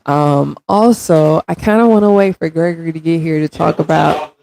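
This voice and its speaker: A woman in her thirties hosting a casual livestream, congested and with a raspy throat, chatting directly with viewers and reading their comments. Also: sits low, fairly even intonation, moderate pace, mellow, poor audio. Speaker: stream host.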